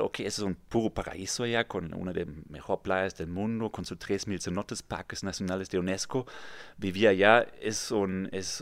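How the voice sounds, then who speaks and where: A man from Germany in his thirties, speaking Spanish with a clear German accent. His voice sits on the low side and comes across dry and close in a small recording booth. He tells his story earnestly, sometimes repeating words as he searches for them. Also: dry recording. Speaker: guest, real estate developer. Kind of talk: podcast interview.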